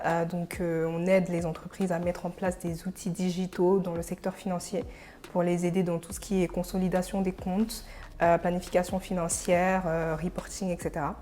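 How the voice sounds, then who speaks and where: A young woman from Senegal, an interview guest, talking casually and pausing mid-thought. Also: lowish voice, little pitch movement, mellow, slight background noise. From Senegal, of Burkinabe descent.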